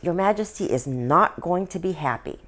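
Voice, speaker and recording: A woman's voice: lowish voice, animated, moderate pace, close to an everyday voice. A woman voicing a sly, gossipy ghost princess in a satirical storybook tale, speaking in an arch and knowing way. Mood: confiding. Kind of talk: storybook dialogue reading.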